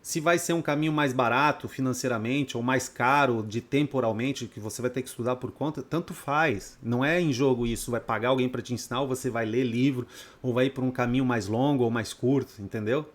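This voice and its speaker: A man's voice: medium pitch, brisk. A man from Brazil, teaching a trading course in a blunt, profane, off-the-cuff lecture full of rhetorical questions. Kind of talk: unscripted lecture to students.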